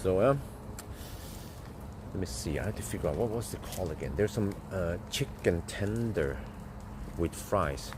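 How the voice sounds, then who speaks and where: Man, deep low voice, rambling live-stream chat, inside a car, clear road noise behind. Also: unhurried, pauses often. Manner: rambling, chatty, thinks aloud with fillers and restarts. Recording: steady vehicle and street noise.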